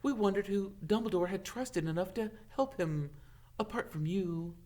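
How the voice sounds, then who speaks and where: A man voicing a curious young schoolgirl in a storybook, inquisitive and flattering. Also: high-pitched, normal speed, pitched above the performer's own voice, lightly acted. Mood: coaxing.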